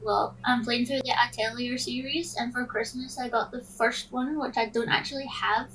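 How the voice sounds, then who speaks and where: A woman's voice: fairly high pitch, steady tone, normal speed, a bit bright. A woman chatting casually and expressively as a podcast co-host. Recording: distant mic with faint noise.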